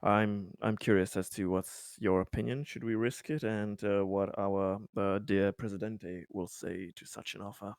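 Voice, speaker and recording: A man's voice: on the low side, fairly even intonation, moderate pace, few pauses, a little mellow, clean tone, dry recording, studio quality. A man playing a tabletop roleplaying game, improvising in character in a hesitant, polite way.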